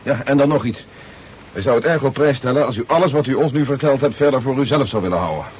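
An actor playing a brisk, impatient army general in a 1970s radio drama, curt, commanding and formal. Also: male voice, mid-range voice, a little mellow, slightly rough, lightly acted. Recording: dull, noisy 1970s radio recording.

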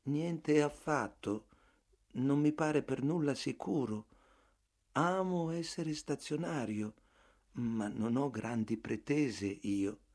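Meek, passive clerk, read by a man: soft, slow, flat, unmoved. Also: medium pitch. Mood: calm, stubborn. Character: meek quiet male clerk, passive and stubborn.

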